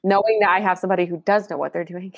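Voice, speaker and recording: A woman's voice: lowish voice, fairly even intonation, rapid-fire, dry recording, clean studio sound. A woman co-hosting a podcast, chatting agreeably and adding her own asides. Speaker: podcast co-host. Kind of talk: casual podcast chat.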